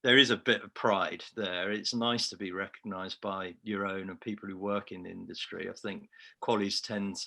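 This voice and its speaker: A man's voice: low voice, fairly even intonation, normal speed, creaky, sharp tone. A man with a Liverpool accent, an award-winning researcher, giving a reflective, self-deprecating answer in a remote interview. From Liverpool, England.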